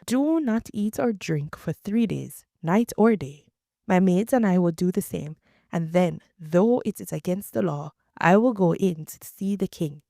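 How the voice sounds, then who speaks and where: Woman reader as a resolute queen, earnest, low and gravelly, dry close sound. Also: animated, measured pace, dark tone, dry recording. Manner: earnest quoted speech within a reading.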